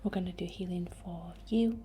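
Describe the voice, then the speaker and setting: A young woman whispering breathily and gently as she guides an ASMR meditation in an informal, unhurried way. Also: lowish voice, fairly even intonation, slight background noise. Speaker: ASMR host guiding a healing session.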